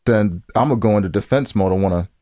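A man's voice: lowish voice, fast, warm tone, dry recording, clean studio sound. A man co-hosting a casual advice discussion, speaking informally with slang and swearing. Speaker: podcast co-host.

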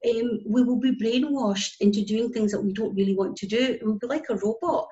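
A woman's voice: on the high side, a little fast, mellow. A Scottish woman in her forties, a guest who works as a business connector, talking earnestly and with emphasis in a casual interview. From Scotland. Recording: dull lo-fi audio.

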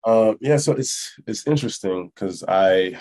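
A man being interviewed casually on a podcast as a musician, thinking aloud haltingly and often checking that the listener follows. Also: lowish voice, expressive, a little mellow. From born in France, lived in Canada and Texas, Congolese family. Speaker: hip hop musician, interview guest.

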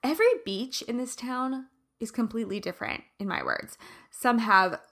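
A woman hosting a casual personal chat, her voice raspy from allergies, chatty and laughing often. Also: fairly high pitch, normal speed, a bit bright.

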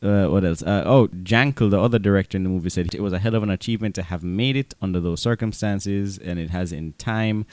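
A man with a fairly low, smooth and resonant voice co-hosts a casual film podcast. He speaks haltingly, repeating words as he goes, and the sound is dry and close. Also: a little mellow, dry recording. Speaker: podcast co-host. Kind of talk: casual film discussion, reading out quotes and notes.

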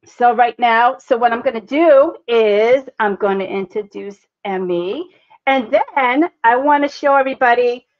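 A woman's voice: fairly high pitch, measured pace. A woman from Boston with a Boston accent, hosting a casual livestream chat. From Boston, United States. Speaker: host. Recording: slightly dull, lo-fi audio.

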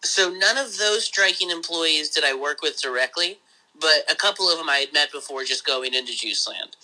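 A man, hosting a podcast, talking casually over a phone call in a rambling, excited way with some swearing. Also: normal speed. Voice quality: high voice. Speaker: podcast host.

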